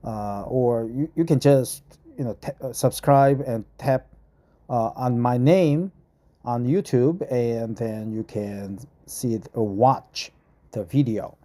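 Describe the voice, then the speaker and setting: A middle-aged Korean man with a Korean accent, reading an article aloud in English to practice, halting with many fillers and repeating phrases. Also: mid-range voice, dark tone. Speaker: vlogger.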